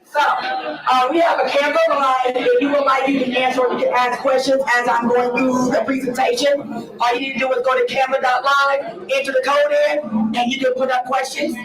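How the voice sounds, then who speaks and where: A woman from Ohio giving an earnest, emphatic presentation about her nonprofit, her voice raspy from an early start. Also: sits high, level tone, brisk, a crowd in the background. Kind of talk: conference presentation. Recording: echoey hall, distant mic.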